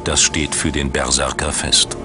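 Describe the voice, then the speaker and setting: Very deep-voiced male documentary narrator. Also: little pitch movement, unhurried. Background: background music.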